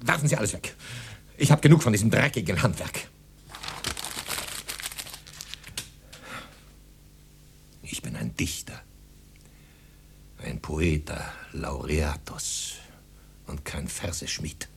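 Low, grumbling, pompous poet. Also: male voice, expressive, vocal fry, a little hiss or hum, exaggerated voice. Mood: exasperated. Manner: theatrical, halting, muttering then flaring up. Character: grumbling, harried professional poet, pompous and easily exasperated. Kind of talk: German radio play acting.

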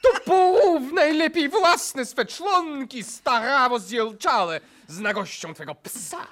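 An actor playing a pompous, easily provoked aristocratic count who blusters indignantly in a theatrical radio play. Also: male voice, very high voice, animated, slow, a bit bright, full character voice. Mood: blustering. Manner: pompous, blustering, repeating himself in affront.

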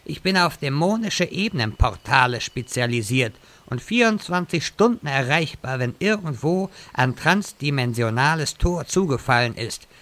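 A man's voice: fairly high pitch, lively intonation, moderate pace, hardly any pauses, a bit bright. An actor playing an old wizard who sells portal services, with pompous speeches and salesman patter in a comedic radio play. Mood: salesy. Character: old male wizard turned hustling locksmith, pompous.

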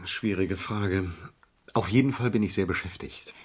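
A man's voice: low-pitched, moderate pace, husky. A man acting a young, inexperienced lawyer with a wry, formal, slightly self-important manner in an eighties radio comedy. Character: young inexperienced male lawyer pretending to be busy. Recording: noisy 1980s archive radio recording.

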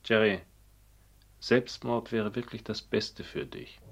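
An actor playing a cold, persuasive schemer in a German radio play, speaking with controlled, deliberate insistence. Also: male voice, on the low side, little pitch movement, creaky, very noisy. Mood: cold, firm. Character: cold, scheming, persuasive man planning a murder.

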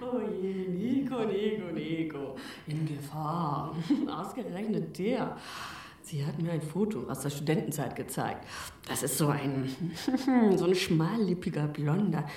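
A woman's voice: lowish voice, expressive, a little slow, mellow. An actress playing a chatty, self-assured spiritual woman in a naturalistic radio drama, with colloquial, gushing delivery. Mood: mocking, amused. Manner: colloquial, gushing, chuckling between phrases.

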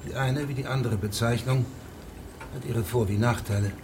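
An actor playing a shrewd federal judge in a radio drama, formal, measured and deadpan, with pedantic precision. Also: male voice, mid-range voice, noisy background, lightly acted. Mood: dry, pedantic.

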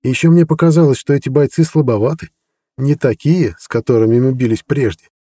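A man reading an audiobook voicing a blunt young woman warrior who talks frankly and briskly. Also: mid-range voice, dark tone, breathy edge, voice pushed up, lightly acted. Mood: recounting. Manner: brisk, frank and matter-of-fact.

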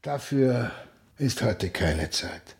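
A man's voice: mid-range voice, lively intonation, drawn-out words, gravelly, a little mellow, slightly rough, full character voice. A man in a radio play acting a silver-bearded café owner, grave and courteous, with a formal, subdued delivery. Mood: grave, resigned.

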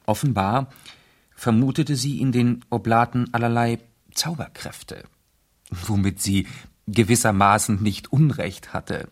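A man acting a suave aristocratic amateur detective in a 1970s German radio drama, measured and theatrical with dramatic pauses. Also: mid-range voice. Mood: explanatory, self-assured. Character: suave gentleman amateur detective lord recounting a cruel case.

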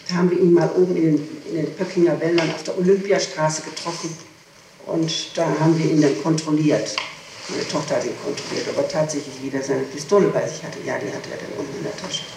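A middle-aged woman answering questions in an interrogation, halting, repeating words and breaking off her sentences. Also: deep voice, steady tone. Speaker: person questioned in a murder investigation. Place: echoey room, away from the microphone. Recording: echoey, noisy, distant 1960s tape recording.

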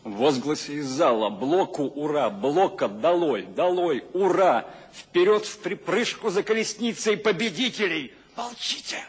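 An actor playing an excited, husky-voiced man calling out a crowd's jeers and cheers in a radio drama. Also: on the high side, a little slow, hardly any pauses. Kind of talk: theatrical radio drama declamation. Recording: long hall reverb.

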